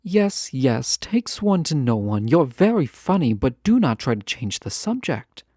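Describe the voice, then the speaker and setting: A man playing a lovesick, proud fantasy ruler in a romantic audio drama, speaking formally, earnestly and with hesitant pauses. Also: mid-range voice, very animated, a little mellow, no echo, studio quality. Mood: exasperated, insistent. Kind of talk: scripted romantic audio roleplay, close and intimate.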